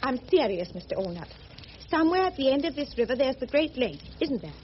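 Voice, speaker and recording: A woman's voice: high voice, sing-song, fairly quick. An actress playing a prim, determined English missionary in her thirties, with Received Pronunciation and a formal, earnest manner, in a radio drama. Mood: intent, curious. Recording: muffled, noisy old radio drama recording.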